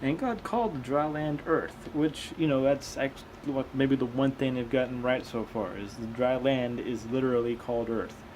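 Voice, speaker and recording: A man's voice: medium pitch, moderate pace, few pauses, a little mellow, slight background noise. A man reading aloud and commenting casually as a host, with a skeptical, questioning manner. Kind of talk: casual commentary between read-aloud passages.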